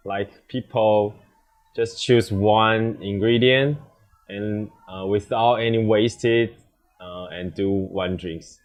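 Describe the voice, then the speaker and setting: Young taiwanese bartender guy, lowish voice, kinda halting english, casual interview. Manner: halting, hesitant non-native English. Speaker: bartender, competition contestant.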